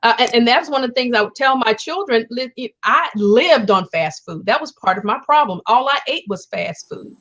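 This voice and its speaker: Earnest American woman, slightly high voice. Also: lively intonation, a bit bright. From USA. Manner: earnest, repetitive emphasis, quick to laugh. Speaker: chat host. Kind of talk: casual group chat.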